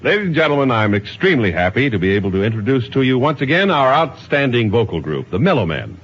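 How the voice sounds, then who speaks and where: A man's voice: medium pitch, big pitch swings, fast, husky, soft background music. A man introducing performers as host of a 1950s radio variety show. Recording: dull old radio recording.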